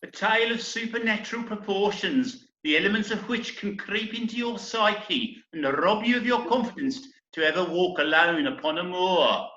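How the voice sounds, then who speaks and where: High, bright man as an earnest troupe compere. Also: lively intonation, normal speed, few pauses. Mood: grand, welcoming. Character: earnest, slightly flustered theatre troupe leader acting as compere. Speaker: amateur actor in a remote group reading. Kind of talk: comic stage-play reading, addressing the audience. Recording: echoey, dull internet call recording.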